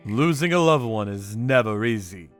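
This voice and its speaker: A man's voice: fairly low pitch, expressive, normal speed, soft background music. A man narrating a manga story in the first person with a theatrical, cocky delivery.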